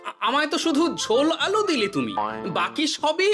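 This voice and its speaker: A woman's voice: high voice, wildly swooping pitch, normal speed, music in the background. A woman playing a put-upon, grumbling middle-aged father-in-law in a cartoon, exasperated and plaintive. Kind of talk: cartoon story acting.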